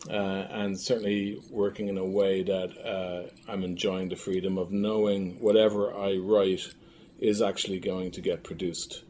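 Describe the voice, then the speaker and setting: A man talking as an interview guest in a casual, reflective style, pausing thoughtfully between phrases. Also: sits low, darkish tone. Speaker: filmmaker and audio drama creator, interview guest. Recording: faint background noise, room ambience.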